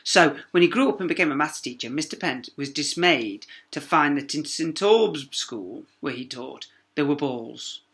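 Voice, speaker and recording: A man's voice: a little high, animated, a bit bright. A man reading a children's story aloud like a primary teacher, dramatic and emphatic. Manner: dramatic, with emphatic pauses and sound words.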